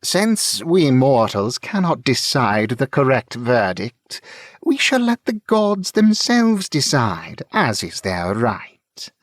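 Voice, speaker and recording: Guy doing a snooty impatient lady mage, pitched up, bossy and dramatic, very animated, fantasy audiobook. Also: slow, dry recording, studio quality. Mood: proclaiming, grand. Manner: imperious, curt, declamatory. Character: haughty, impatient female mage with a tail. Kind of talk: fantasy audiobook character acting.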